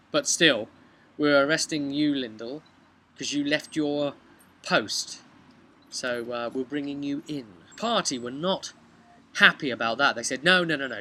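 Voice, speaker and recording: A British man tells the story of a tabletop game session in a casual, stop-and-start way. His mid-pitched voice is bright and forceful. He is recorded outdoors on a camera mic, with faint noise around him. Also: rough recording. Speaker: game master recapping a session. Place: outdoors, on a bench by a house. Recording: outdoor webcam with background noise.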